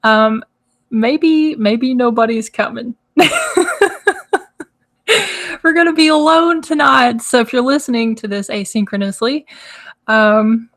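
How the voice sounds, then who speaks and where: A woman hosting a casual live stream, chatty and rambling, with a hoarse, crackly, nasal voice from congestion. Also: fairly high pitch, slow pace, pauses often. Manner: chatty, rambling, laughs often. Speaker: host. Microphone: microphone.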